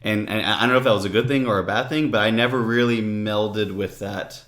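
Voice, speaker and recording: A low-voiced man speaks as a podcast guest in a casual chat. He talks haltingly, repeats words and restarts sentences, and adds "if you will" now and then. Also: steady tone, a bit bright.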